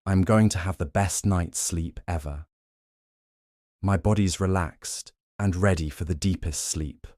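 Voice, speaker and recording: Male sleep meditation guide: very deep, unhurried, soothing and gently instructive. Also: no room sound, clean studio sound. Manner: soothing, gently instructive, short measured phrases.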